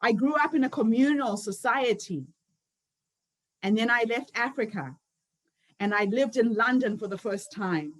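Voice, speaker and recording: A woman in her fifties speaks in a notably high voice. She delivers an earnest motivational talk as a summit founder, weaving personal stories through it. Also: animated, moderate pace, pauses often, low-quality recording. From Zimbabwe. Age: 50s. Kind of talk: motivational speech.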